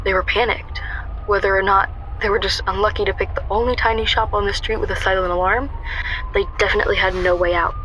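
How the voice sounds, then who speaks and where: A woman's voice: mid-range voice, fairly even intonation, fast, pushed and bright, slight background noise, lightly acted, poor audio. A woman playing an anxious, guarded young shop worker, narrating a radio drama in a wry, confessional way. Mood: wry, uneasy narration.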